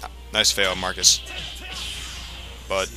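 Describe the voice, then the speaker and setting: A man giving casual, explanatory gameplay commentary in a halting way, as the host of a let's play. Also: low voice, completely flat delivery. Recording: game music behind the voice. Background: video game music.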